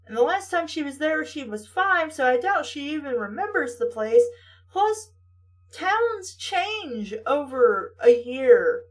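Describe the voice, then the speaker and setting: A high-pitched female voice, recorded at some distance from the mic. She reads a story aloud and keeps stepping out of it with mocking, questioning commentary. Her delivery is that of a sarcastic host talking back to the text. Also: big pitch swings, takes it slowly. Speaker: host reading stories aloud. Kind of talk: story reading with mocking asides.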